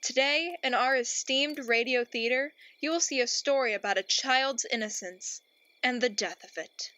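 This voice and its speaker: A woman as a high-voiced, pompous narrator. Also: moderate pace, sharp tone, no room sound. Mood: ominous. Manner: grandiose, theatrical. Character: pompous, melodramatic storyteller narrator, easily exasperated. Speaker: narrator and host. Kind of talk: radio play narration.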